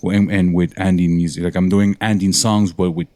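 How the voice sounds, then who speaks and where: A man's voice: low-pitched, flat delivery, moderate pace, warm tone, no echo. A man from Montreal, a guest musician, telling his story in a rambling, self-correcting way. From Montreal, Canada. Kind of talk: casual interview talk.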